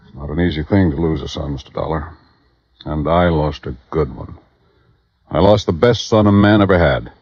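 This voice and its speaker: A man's voice: rumbling low, normal speed, no echo. An actor playing a blunt, grieving, rich old man with an American accent in a 1950s radio drama. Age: older. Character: rich old dying millionaire, gruff, grieving his son. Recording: dull vintage radio recording.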